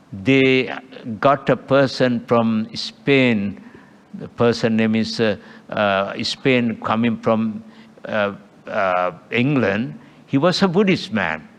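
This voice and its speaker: An older Buddhist monk with a Sri Lankan accent, his first language Sinhala, giving a dhamma talk in halting, broken English and checking in with a questioning "huh?". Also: fairly low pitch. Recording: long hall reverb.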